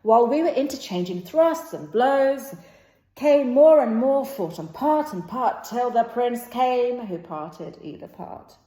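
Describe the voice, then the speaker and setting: A woman playing an earnest young nobleman in an amateur stage play reading, recounting things in a halting storytelling way. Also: a little high, lively intonation, mellow. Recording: echoey room.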